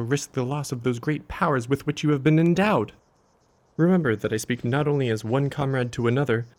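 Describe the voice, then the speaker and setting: A loyal and concerned male army doctor acted by a man in a Victorian mystery audio drama. He has a medium-pitched voice and speaks formally, with earnest care. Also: natural voice.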